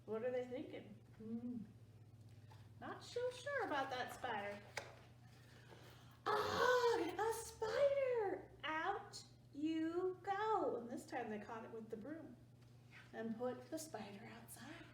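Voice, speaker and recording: A woman as children's librarian, leading a storytime with a slow, singsong, coaxing manner. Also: a little high, lively intonation. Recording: roomy, distant mic, faint background hum.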